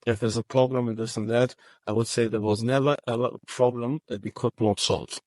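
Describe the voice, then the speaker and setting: A man answering interview questions about his company, speaking in halting phrases with frequent restarts. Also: mid-range voice, steady tone, darkish tone, dry recording. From Germany. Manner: halting, many restarts and repeated words. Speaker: roofing company manager.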